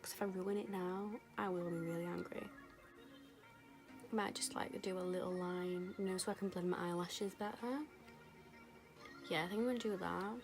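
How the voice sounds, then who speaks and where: Chatty young woman, voice going hoarse. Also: lowish voice, steady tone, moderate pace, long pauses. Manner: chatty, rambling, lots of fillers. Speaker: beauty vlogger. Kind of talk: casual makeup tutorial chat. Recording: music playing behind.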